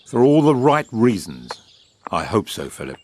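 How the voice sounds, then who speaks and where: A man acts a polished British government propagandist in a period audio drama. His voice is low, his phrasing grand, measured and self-assured. Birdsong and background noise sit behind him. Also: big pitch swings, darkish tone. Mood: hopeful, measured. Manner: urbane, eloquent, measured, grandiloquent. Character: suave, confident British wartime propaganda official.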